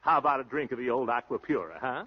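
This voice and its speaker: An actor playing a brash, boastful young suitor in a 1930s radio comedy, talking in pompous, florid slang. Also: male voice, mid-range voice, animated, fast talker, breathy edge. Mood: breezy. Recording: dull, lo-fi 1930s radio recording.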